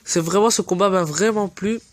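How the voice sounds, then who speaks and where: A man hosting a fan channel, stuffy from a cold, giving casual, earnest commentary that often pauses to search for words. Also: sits high, no echo. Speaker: anime fan channel host.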